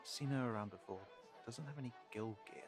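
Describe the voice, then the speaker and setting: A man playing a polite, awkward young human in an audio roleplay, halting and apologetic. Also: mid-range voice, close to an everyday voice. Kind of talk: audio roleplay drama acting. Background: music.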